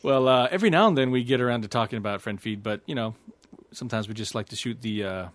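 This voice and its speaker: A man's voice: medium pitch, fast talker. A man hosting a podcast in casual, playful chat, teasing his co-host and laughing easily.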